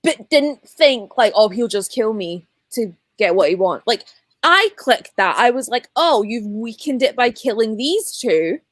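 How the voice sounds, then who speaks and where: A woman's voice: a little high, animated, a little slow, dry recording. A woman in a casual live book chat, speaking in a blunt, slangy and colloquial way. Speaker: book discussion panellist.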